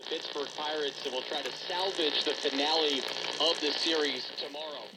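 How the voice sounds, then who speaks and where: A man reading sports news as a radio announcer, heard quietly through an AM radio. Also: a little high, moderate pace, poor audio. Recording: quiet AM radio buried in loud noise.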